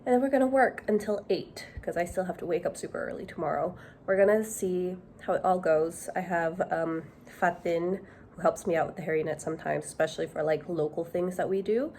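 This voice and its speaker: A young woman chatting casually to camera in a vlog, nasal and hoarse from a cold, thinking aloud with fillers. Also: on the low side, fairly quick, darkish tone, a little hiss or hum. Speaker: knitting vlogger.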